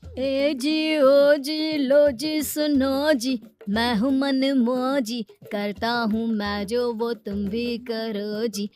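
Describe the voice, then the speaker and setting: A woman's voice: sits high, steady tone, drawn-out words, a little mellow, soft background music. A woman voicing a playful, filmi, romantic young man in a moral story cartoon, with a showy manner.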